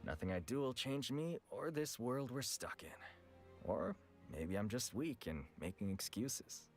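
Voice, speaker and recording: A frank, animated weapons merchant. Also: male voice, mid-range voice, a little slow. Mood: resigned. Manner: casual, blunt, expressive. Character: frank, world-weary male weapons merchant, grandson of a shopkeeper. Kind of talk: scripted game voice acting. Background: background music.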